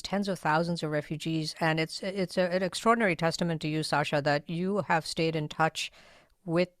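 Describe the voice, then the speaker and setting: A woman's voice: deep voice, no echo. Woman interviewer, admiring, laughs often, dry, close-miked sound. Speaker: podcast host and interviewer. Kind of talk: interview conversation.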